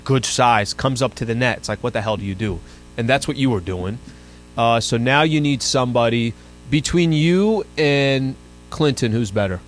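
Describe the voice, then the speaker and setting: A man's voice: medium pitch, expressive, normal speed, soft background music. A man co-hosting a sports radio show, talking in an easygoing, teasing way. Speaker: radio co-host. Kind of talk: casual sports radio banter.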